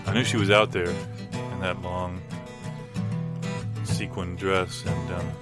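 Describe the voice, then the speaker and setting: A man playing a bewildered, hesitant performer in an improvised comedy monologue, talking haltingly and conversationally. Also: low voice, level tone. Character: bewildered man recounting being stuck wordless onstage. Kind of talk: improvised spoken comedy monologue over music. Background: guitar music.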